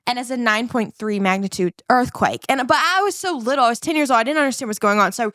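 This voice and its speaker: A woman in her thirties with a New Zealand accent tells a personal story as a podcast guest. Her voice sits a little high and sounds lively. The sound is dry and close. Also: quick pace, no echo. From England, raised in New Zealand. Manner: animated, chatty storyteller using many likes.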